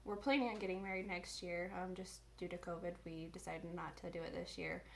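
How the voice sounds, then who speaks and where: A woman in her twenties from the US Midwest, chatting casually on her vlog and filling her pauses with ums. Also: lowish voice, steady tone, vocal fry, a little hiss or hum. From Indiana, USA. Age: 20s. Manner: chatty, many ums and restarts. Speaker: vlogger. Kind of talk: casual chat.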